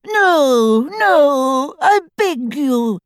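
A man's voice: very high-pitched, very animated, very slow, dry recording, clean studio sound, pitched above the performer's own voice, exaggerated voice. A man voicing a terrified father in an audiobook, sobbing and begging desperately as he repeats himself. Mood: panicked pleading. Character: terrified, sobbing, pleading father captured by attackers. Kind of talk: audiobook character voice.

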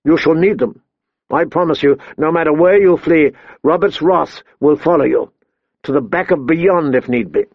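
A stern and honorable lord, grave and measured in every line, as a male audiobook reader plays him. The voice is slightly higher than most men's and is heard dry and a bit muffled. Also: dry recording. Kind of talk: audiobook character voice.

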